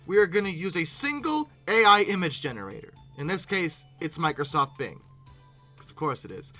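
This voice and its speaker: A YouTube host giving casual, animated reaction commentary with exasperated asides. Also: mid-range voice.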